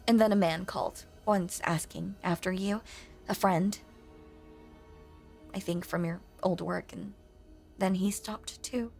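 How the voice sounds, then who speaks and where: A woman playing a lonely, possessive female ghost in an intimate audio drama monologue, hushed and coaxing, with genteel old-fashioned phrasing and a breathy voice that often sinks toward a whisper. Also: medium pitch, steady tone, unhurried, long pauses, faint music behind. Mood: quietly resentful, possessive.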